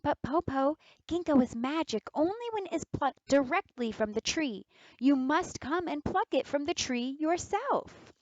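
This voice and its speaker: Storybook heroine: wary, clever eldest girl, voiced by a woman, high-pitched, politely crafty and questioning. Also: expressive, unhurried, no room sound, dull audio. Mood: bright persuading.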